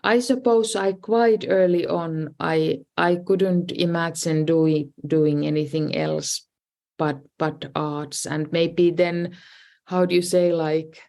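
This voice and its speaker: A middle-aged Finnish-accented woman speaks a little low for a woman, talking slowly through her story as a guest. She hesitates often and restarts her sentences, filling gaps with "you know, like.". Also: steady tone, gravelly, darkish tone. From Finland. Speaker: design professor and dean, guest. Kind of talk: casual interview conversation.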